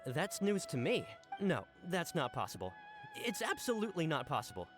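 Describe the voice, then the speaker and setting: An eager, nervous game subordinate; animated delivery over game music. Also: male voice, on the high side, normal speed. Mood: earnest. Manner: eager, apologetic, excitable. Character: earnest, anxious young male subordinate. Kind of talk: video game voice acting. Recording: game audio with music under.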